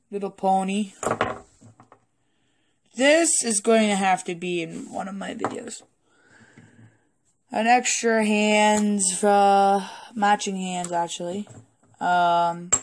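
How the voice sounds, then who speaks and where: A woman who is a little sick and hoarse, rambling casually and hesitantly through a toy collection review with some swearing, recorded on a handheld phone at a table indoors. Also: mid-range voice, a little hiss or hum. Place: indoors at a wooden table in a home room.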